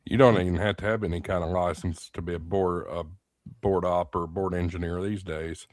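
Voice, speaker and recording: A man's voice: low-pitched, steady tone, a little fast, a little mellow, no echo, studio quality. A man hosting a ham radio talk show, explaining things in a matter-of-fact way. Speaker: host. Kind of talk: casual chat.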